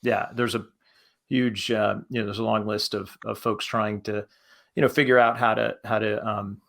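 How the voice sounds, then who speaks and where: A man gives his thoughts as a panelist in a low, creaky voice. He talks casually and haltingly, circling back over phrases as he goes. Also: level tone, a bit bright. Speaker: panelist, podcast creator.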